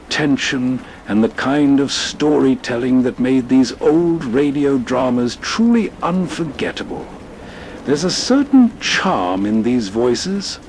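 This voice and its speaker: A man's voice: medium pitch, a little mellow, a little hiss or hum, rough recording. A man narrating as host in a scripted, atmospheric, reflective style. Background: train ambience.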